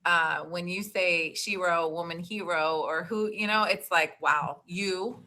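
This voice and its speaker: A woman in her forties, a podcast guest, talking in an animated, chatty way with lots of "like.". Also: lowish voice, measured pace, a little hiss or hum. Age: 40s. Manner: animated, talkative, frequent "like". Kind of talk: casual interview chat.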